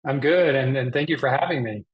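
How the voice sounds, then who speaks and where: A man's voice: medium pitch, a little fast, lo-fi sound. A middle-aged man from Texas, explaining his work and life as an interview guest. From Texas, Dallas area. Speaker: guest, mission organization president.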